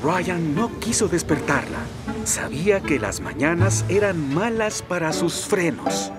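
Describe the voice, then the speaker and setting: A man with a Latin American Spanish accent giving scripted, expressive storybook narration for a cartoon. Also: high-pitched, unhurried, over loud music.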